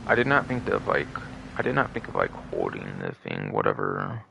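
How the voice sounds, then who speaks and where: A man's voice: rumbling low, wildly swooping pitch, brisk, vocal fry, noisy background. A man rambling casually as he live streams a game, repeating himself and swearing often in a stop-and-start way. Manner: repetitive, heavily swearing, stop-and-start delivery.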